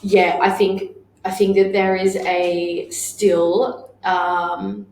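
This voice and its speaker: A woman's voice: mid-range voice, level tone, drawn-out words, a little mellow. Blunt woman in her thirties, echoey room. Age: 30s. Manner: blunt, swears freely, laughs often. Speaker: podcast co-host, business owner. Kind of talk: casual chat. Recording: echoey room with faint noise.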